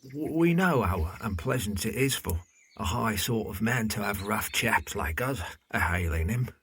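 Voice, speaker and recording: Actor as deferential lad, rough low voice, audio drama. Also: male voice, lively intonation, measured pace, a bit bright, exaggerated voice. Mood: hearty, respectful. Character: hearty, deferential young rustic carpenter.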